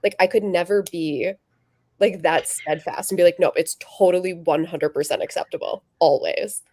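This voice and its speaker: A woman's voice: fairly low pitch, steady tone, moderate pace, darkish tone, no echo. Casually chatting woman, close dry sound. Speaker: book vlogger and podcast-style co-host. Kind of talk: casual chat.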